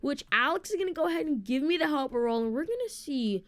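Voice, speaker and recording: Female game presenter, high and very animated, informal walkthrough commentary aimed straight at viewers. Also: fast. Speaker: video game streamer and presenter. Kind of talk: casual gameplay walkthrough commentary.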